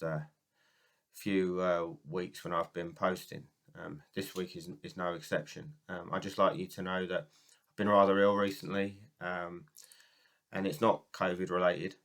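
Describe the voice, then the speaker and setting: A man from the UK talks casually to camera about business, his voice very low and husky from a cold. He rambles a little, with fillers and restarts. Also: fairly bright tone. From United Kingdom. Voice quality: husky from a sore throat. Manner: rambling, many ums and restarts. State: has a cold and sore throat. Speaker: network marketing business coach.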